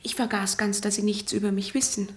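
An actress in a German radio play as an earnest, troubled patient, polite, hesitant and self-doubting. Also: female voice, mid-range voice, little pitch movement, a little fast, lightly acted.

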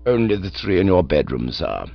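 A man voicing a calm, deferential male valet who speaks quietly and respectfully in an audiobook. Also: sits low, moderate pace, creaky, no room sound, muffled recording, voice pushed down, exaggerated voice.

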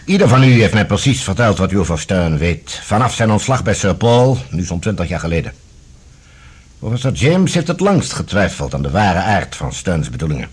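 Casting: stern security general for radio drama, low and very husky, formal, measured, courteous but unyielding. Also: male voice, big pitch swings, full character voice. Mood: composed, authoritative. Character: composed, authoritative male general, head of security service. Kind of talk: Dutch radio drama acting.

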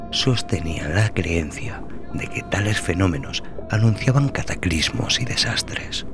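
A man with a very low voice reads a dramatic script as a storytelling host. His delivery is slow, deliberate and solemn, with a theatrical weight to the phrasing. Music plays clearly behind his voice. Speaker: podcast host and narrator.

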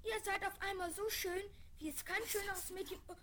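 Lady doing an excited little page boy, super high voice, old kids record, bit of noise. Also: moderate pace. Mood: excited, awed. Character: eager, awed little boy page at royal castle. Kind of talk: 1970s German children's audio play acting. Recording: vinyl record rip, slight background noise.

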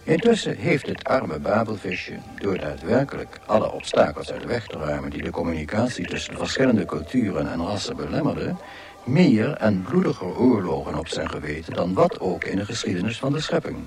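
Low-voiced, animated guidebook narrator. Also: male voice, brisk. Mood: dry, expository. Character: dry, learned male narrator of a galactic guidebook. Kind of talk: scripted narration in a radio drama.

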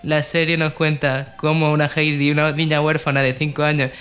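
A man's voice: fairly high pitch, flat delivery, brisk, a bit bright. A man from co-hosting a radio show, in casual chat and read-out narration. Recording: faint music under the voice. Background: faint music.

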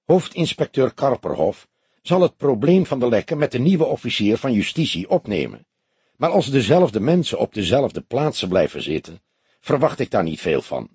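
A man voicing an older male police detective in an audiobook, calm, sceptical and deliberate, with measured, formal phrasing. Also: mid-range voice, husky, dry recording, heavily acted. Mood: sober, sceptical. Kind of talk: audiobook character dialogue.

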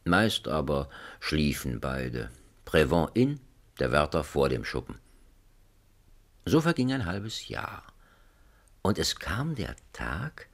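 A man narrating a satirical radio play as a wry storyteller with measured irony. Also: very deep voice, very animated, exaggerated voice.

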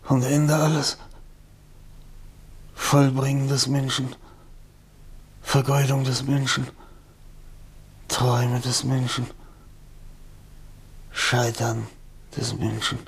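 An actor in a radio play as a dying, feverish, broken writer, husky and gravelly, speaking in halting fragments. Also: male voice, mid-range voice, flat delivery, heavily acted. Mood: weary, bitter. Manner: halting, murmuring, fragmented speech.

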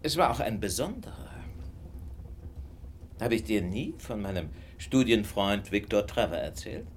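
An actor playing a shrewd, self-assured detective in a radio drama, speaking in a knowing, deliberate way. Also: male voice, on the low side, sing-song, a little hiss or hum. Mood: knowing, reminiscing. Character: famous male detective, shrewd, self-assured, gently superior. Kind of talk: German radio drama acting.

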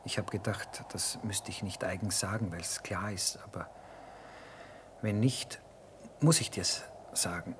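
Blunt, callous army cook. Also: male voice, sits low, a little slow, in a whisper. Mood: hesitant, earnest. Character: hard-headed, callous army cook courting a trader woman. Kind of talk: radio drama acting.

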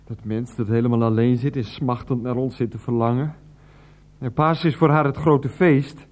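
An actor playing a meek, hesitant husband in a radio play. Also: male voice, medium pitch, steady tone, moderate pace, darkish tone, lightly acted. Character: meek, bumbling husband Freek.

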